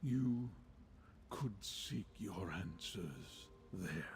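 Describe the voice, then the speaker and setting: An actor playing a solemn, ancient male lore keeper in a fantasy game, speaking formally and portentously with a gravelly, breathy voice. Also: deep voice, lively intonation, full character voice. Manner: measured, portentous, formal storytelling. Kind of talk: fantasy video game voice acting. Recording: faint background noise, game ambience.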